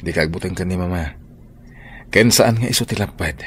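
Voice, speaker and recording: A man's voice: low voice, brisk, a bit bright, a little hiss or hum. A man acting a young man who defies his mother for the woman he loves, earnest and pleading. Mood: tender. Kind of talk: radio drama acting.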